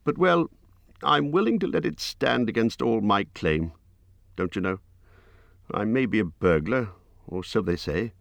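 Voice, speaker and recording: A man voicing a small, fussy male hobbit who talks in a squeaky, hurried, apologetic way. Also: expressive, gravelly, dry recording. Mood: flustered.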